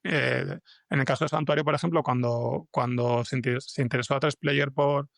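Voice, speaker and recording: Creaky-voiced man from a Spanish podcast interview. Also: mid-range voice, fairly even intonation, moderate pace, no room sound, clean studio sound. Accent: Spain Spanish. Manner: hesitant, rambling, stretching fillers and repeating words. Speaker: guest, fiction podcast scriptwriter and comics artist. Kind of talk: casual interview conversation.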